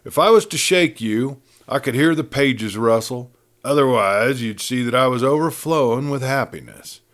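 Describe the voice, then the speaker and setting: A man's voice: mid-range voice, normal speed, fairly bright tone, close to an everyday voice. A man voicing a breezy, good-natured but proud cowboy prisoner, bantering in folksy Western talk. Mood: cheerful, teasing.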